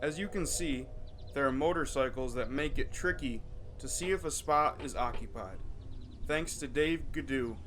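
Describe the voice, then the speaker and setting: A man's voice: medium pitch, moderate pace, a bit bright, a little hiss or hum. A man reading scripted narration for a game demonstration. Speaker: demo narrator. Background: music.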